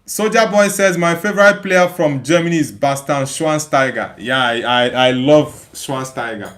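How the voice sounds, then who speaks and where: Higher-pitched man hosting a casual livestream. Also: moderate pace, a bit bright. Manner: emphatic, repeats phrases as he thinks aloud. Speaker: livestream host. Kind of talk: casual live chat, reading viewer comments aloud and replying.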